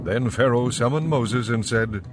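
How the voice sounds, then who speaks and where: A man's voice: mid-range voice, unhurried, music in the background. A man narrating scripture as the scripted narrator of a dramatized audio Bible.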